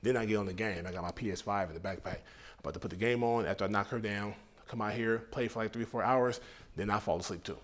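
A man's voice: lowish voice, quick pace, natural voice. An American man in his thirties playing a laid-back, brazen late-night hookup guy in a comedy sketch, talking in street slang. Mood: laid-back bragging. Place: furnished indoor room, filmed sketch.

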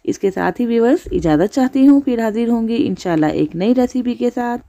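A woman's voice: on the high side, expressive, brisk, dark tone. A woman hosting a cooking channel, narrating a recipe step by step as a voice-over.

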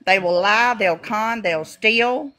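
A woman's voice: medium pitch, slow pace, sharp tone. A woman in her fifties hosting a video-call livestream, chatting in a drawling, gossipy way. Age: 50s. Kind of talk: casual livestream chat.

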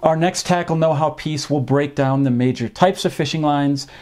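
A man in his fifties giving an informal instructional talk to camera as a fishing instructor, explaining in measured, hesitant phrases with frequent pauses, recorded indoors in a small room. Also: a little high, darkish tone. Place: small home office, indoors.

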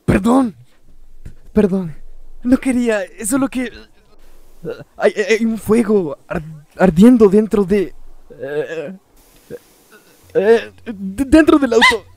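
A man's voice: very high voice, a little fast, mellow, no echo. A man voicing a nervous, apologetic young cartoon cat in a fan dub, with a Latin American Spanish accent. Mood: flustered. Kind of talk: animated fan dub acting.

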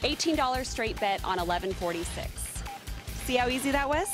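Bubbly woman host, high and lively. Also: a little fast, music in the background. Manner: bubbly, chatty, playful. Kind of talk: upbeat hosting and promo presenting.

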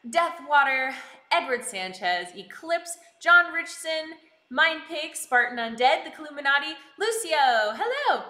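A woman's voice: sits high, sing-song, unhurried, sharp tone. A bubbly, giggly woman chatting casually with live viewers as she hosts a stream, full of exclaimed greetings. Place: indoors in a kitchen. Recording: roomy, echoing indoor sound.

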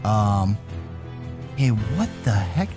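A man's voice: fairly low pitch, very animated, very slow, over music. A man hosting a gaming video, giving casual gameplay commentary with some light roleplay storytelling in an informal, hyped but hesitant way. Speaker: gaming channel host.

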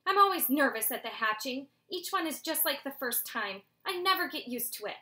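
A woman doing a gentle mother owl. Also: high-pitched, expressive, fairly quick, roomy sound, voice pushed up. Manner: soft, reassuring.

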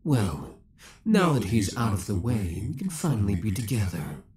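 A man's voice: lowish voice, very animated, moderate pace, darkish tone. A man playing an obsessive young villain in a roleplay audio drama, theatrical and formal, taunting with cold affection. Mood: menacing, mocking. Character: obsessive young male villain, possessive, menacing, coldly affectionate.

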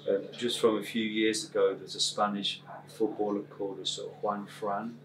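A middle-aged man asking interview questions gently, with hesitations and "sort of" fillers. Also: on the low side, level tone, normal speed, background noise. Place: large room by a window, indoors. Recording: distant mic with clear room ambience.

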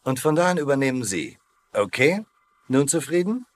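Comedy dub: actor as wry colonel in charge, mid-pitched, swooping intonation, brisk and commanding. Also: male voice, big pitch swings, lightly acted. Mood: resigned. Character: wisecracking American colonel leading prisoners of war. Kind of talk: dubbed sitcom acting.

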